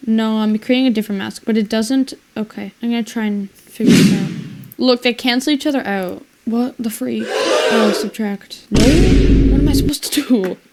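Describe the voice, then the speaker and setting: A woman's voice: a little high, normal speed, very noisy. A woman narrating her video editing in a casual, rambling way, thinking aloud and exclaiming to herself. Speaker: video editor and creator narrating her screen work.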